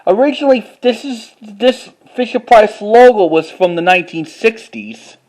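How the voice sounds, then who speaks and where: A man with a halting, word-repeating way of talking, doing a casual show-and-tell like an enthusiastic collector. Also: sits high, expressive, a little mellow, poor audio. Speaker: vlogger.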